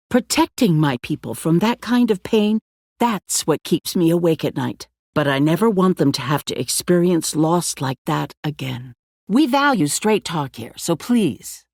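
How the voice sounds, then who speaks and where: An actress playing a poised, commanding woman who leads a survivor settlement in a video game, speaking in a measured, courteous but firm way. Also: on the low side, a little mellow, dry recording, clean studio sound. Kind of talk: dramatic video game dialogue.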